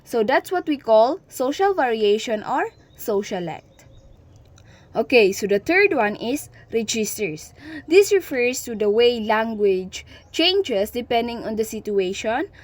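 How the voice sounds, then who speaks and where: A woman's voice: fairly high pitch, sing-song, a little slow, darkish tone. A young woman with a Filipino accent presenting a class report as a student reporter, often restarting words and slipping into Tagalog phrases. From Philippines. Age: young adult.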